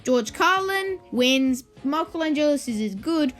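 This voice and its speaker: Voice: high-pitched, expressive, unhurried, fairly bright tone, faint music behind. A video host giving informal opinion commentary in a ranking video, with conversational asides.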